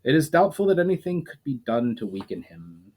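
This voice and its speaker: A man's voice: medium pitch, sing-song, a little fast, darkish tone, breathy edge. A man reading a grave, doubtful ancient spirit.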